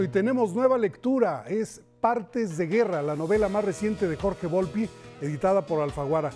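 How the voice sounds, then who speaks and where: A man's voice: sits high, warm tone. A man with a Mexican accent presenting a culture segment in a broadcast reporting style.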